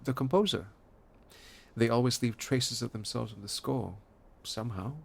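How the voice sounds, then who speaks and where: An actor playing a withdrawn, brooding pianist in an audio drama, with a husky, creaky voice and a terse, guarded manner. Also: male voice, mid-range voice, normal speed. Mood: reflective. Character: withdrawn, brooding male pianist in a strained romance. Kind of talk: naturalistic audio drama acting with inner monologue.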